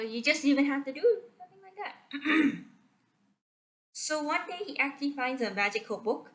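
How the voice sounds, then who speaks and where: A woman's voice: on the high side, a bit bright. A young woman from Malaysia giving a casual movie summary for her channel, talking hesitantly and often unsure of the facts, with many fillers and restarts. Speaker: video creator. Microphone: computer screen recording mic.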